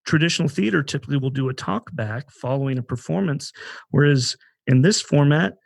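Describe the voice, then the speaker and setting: A man's voice: a little high, brisk, vocal fry, no room sound. A man co-hosting a podcast, talking conversationally in an earnest, explanatory way. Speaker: podcast co-host. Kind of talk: conversational podcast talk about a theater project.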